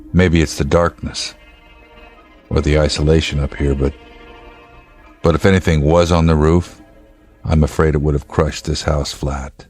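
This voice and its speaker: A state trooper with an extremely low voice, set in a radio drama. He is gruff, measured and protective, with a dry, laconic humor. Faint music sits behind his lines. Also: a little mellow. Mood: puzzled, grave. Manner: measured, laconic, dryly wry. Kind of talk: naturalistic radio drama acting.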